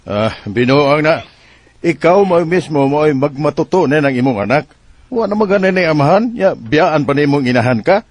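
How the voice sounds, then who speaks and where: A man's voice: fairly high pitch, lively intonation, normal speed, no room sound. An actor playing a protective middle-aged father in a Bisaya radio drama, speaking insistently with a Cebuano accent. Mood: firm, concerned. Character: protective father advising his heartbroken grown daughter.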